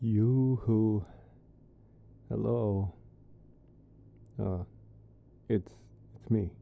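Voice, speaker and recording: A man playing a young vampire boyfriend locked out, coaxing in a halting, wry way, muffled as if behind a door. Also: lowish voice, vocal fry, full character voice. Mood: pleading, exasperated. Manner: halting, coaxing, wryly playful. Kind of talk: ASMR boyfriend roleplay. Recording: muffled narrow-band, as through a door.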